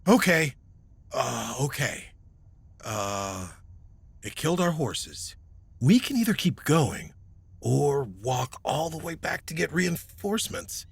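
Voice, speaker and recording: A man's voice: a little high, sing-song, takes it slowly, gravelly, slightly rough, no echo, heavily acted. A man playing a hesitant, awkward but determined young novice knight in an audio drama. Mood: shaken.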